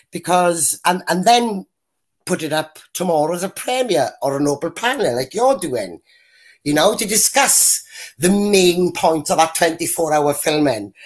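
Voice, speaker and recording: A woman with a deep voice, bluntly giving her opinions as a guest on a video-call panel. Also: breathy edge. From Wales. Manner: blunt, rambling, mimics others when quoting. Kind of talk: casual opinionated chat.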